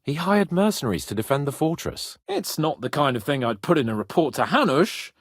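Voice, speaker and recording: A man's voice: fairly high pitch, fairly quick, no room sound, clean studio sound. An actor playing a haughty, spirited young nobleman in a medieval video game, lordly and bossy in manner but loyal. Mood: conversational. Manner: lordly, bossy, expressive, swearing freely.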